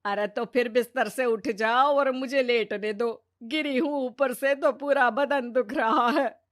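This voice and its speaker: A slow, high voice gives us an elderly mother-in-law as a woman plays her. She is suspicious and full of herself, fussing and protesting theatrically in cartoon-story fashion. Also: close to an everyday voice. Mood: grumbling. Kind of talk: Hindi cartoon storytelling dub.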